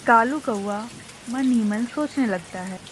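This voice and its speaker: A woman's voice: on the high side, a little slow. A woman reading scripted narration for a children's cartoon story, with rain noise behind her.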